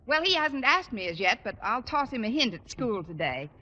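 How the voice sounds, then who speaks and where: An American actress playing a wry, quick-witted single English teacher in a 1950s radio comedy, with dry, wisecracking delivery. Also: female voice, a little high, big pitch swings. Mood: wry, chatty. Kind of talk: 1950s radio sitcom acting. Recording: muffled old radio recording, hissy, with clicks.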